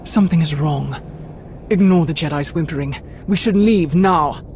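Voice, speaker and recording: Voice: mid-range voice, moderate pace, a little mellow, slight background noise, natural voice. A voice actor playing a commanding Sith lord who speaks in a cool, commanding way over game music. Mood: cold. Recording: game soundtrack under voice.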